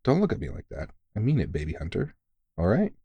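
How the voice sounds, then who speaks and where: A man's voice: on the low side, wildly swooping pitch, fast, breathy edge, dry recording, highs cut off, heavily acted. A man playing a big veteran vampire hunter in an intimate audio roleplay, talking bluntly and sweary, yet earnest and reassuring. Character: big, gruff but caring veteran male vampire hunter, mentoring.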